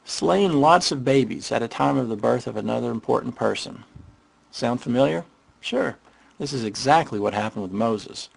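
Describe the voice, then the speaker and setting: A man delivering casual, irreverent scripted commentary in a sarcastic, mocking way, with a gravelly, husky voice. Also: mid-range voice, animated, normal speed, rough recording. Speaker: narrator of a skeptical commentary on the Bible.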